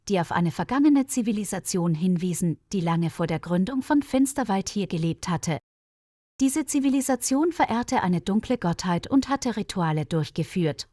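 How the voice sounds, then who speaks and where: A woman's voice: medium pitch, darkish tone, no echo. Woman storyteller, dry close studio sound. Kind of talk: scripted narration of a mystery story for German learners.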